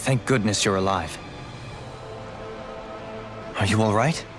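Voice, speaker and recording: A man playing a composed, dryly wry companion in a fantasy game, with formal, measured phrasing. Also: fairly low pitch, over music. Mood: relieved, calm. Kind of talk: video game voice acting.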